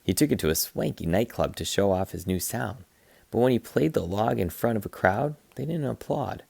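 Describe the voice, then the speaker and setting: A man reading a children's picture book aloud like a teacher, in an expressive, playful way. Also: on the low side, normal speed, creaky, breathy edge, no echo. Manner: expressive, playful, acts out sound effects.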